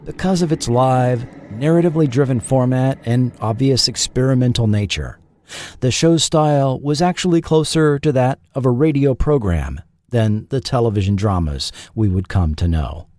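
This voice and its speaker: A man reading scripted documentary narration in a measured, dramatic storytelling manner. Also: medium pitch, animated, a little mellow, no room sound. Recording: music bed under the voice. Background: background music.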